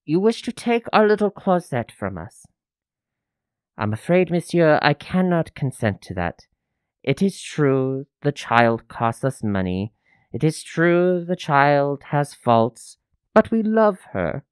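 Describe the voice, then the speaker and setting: A man's voice: a little high, big pitch swings, slow, clean tone, no echo. A man playing a greedy French innkeeper in a radio drama, oily and haggling as he feigns fatherly love. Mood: oily, wheedling. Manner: oily, haggling, theatrically insistent.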